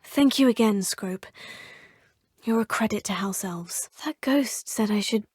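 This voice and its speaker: A woman's voice: medium pitch, unhurried, darkish tone, slightly rough, no echo, studio quality. An actress voicing an earnest, polite teenage witch student in a game, speaking formally and often murmuring to herself. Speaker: player character. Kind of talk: game voice lines.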